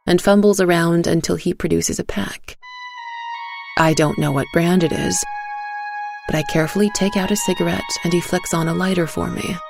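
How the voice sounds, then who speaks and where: A woman narrating a scripted dark story in the first person, in a measured, deliberate way. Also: fairly low pitch, gravelly. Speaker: narrator of an audio fiction story.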